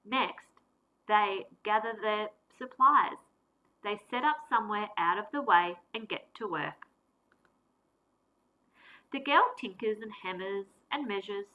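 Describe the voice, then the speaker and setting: Bright-voiced woman teacher reading a storybook. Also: medium pitch, poor audio. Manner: expressive, deliberate storytelling with varied emphasis. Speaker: primary school teacher. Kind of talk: reading a picture book aloud.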